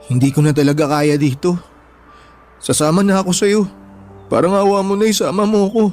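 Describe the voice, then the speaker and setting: An actor playing a wounded, bitter younger brother with a Filipino accent in a radio drama, emotional and plaintive. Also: male voice, sits high, a little fast, darkish tone, close to an everyday voice. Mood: pleading, sorrowful. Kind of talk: Tagalog radio drama acting. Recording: background music throughout.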